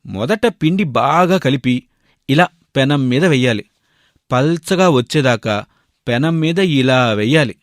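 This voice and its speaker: A man's voice: mid-range voice, animated, a little slow, no room sound, clean studio sound, lightly acted. A man voicing a fussy, food-loving bachelor shopkeeper in a story, bossy and given to complaining.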